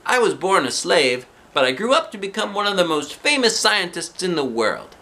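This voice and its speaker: A reader voicing a proud male scientist who was born enslaved, telling his story slowly, in a children's book read aloud. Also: medium pitch, very animated, bright, forceful tone, voice pushed up.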